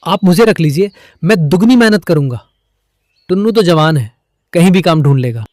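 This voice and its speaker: A man voicing a greedy, selfish parrot in a cartoon story, given to flattery and wheedling. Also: on the high side, moderate pace, no room sound, studio quality, close to an everyday voice. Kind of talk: Hindi cartoon story dialogue.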